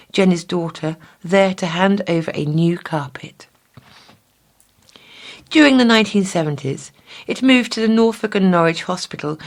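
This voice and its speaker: A woman's voice: fairly low pitch, darkish tone. A woman narrating a scripted feature for a talking newspaper, reading from prepared text.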